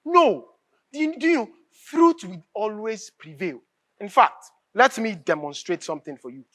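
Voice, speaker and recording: An impassioned Nigerian revolutionary idealist, preachy and impatient. The delivery is declamatory and emphatic, with pitch that swings widely and key phrases hammered home. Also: animated, moderate pace, a bit bright. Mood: insistent, preachy. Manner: emphatic, oratorical, repeats phrases for force. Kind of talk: live stage drama acting, declamatory dialogue.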